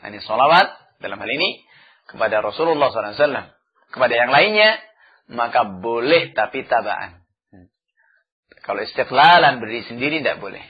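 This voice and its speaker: A man teaching a religious lesson, chanting verses slowly and then explaining them with frequent "ya" fillers. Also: medium pitch, lively intonation, breathy edge, highs cut off. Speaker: Islamic teacher. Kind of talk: religious study lecture.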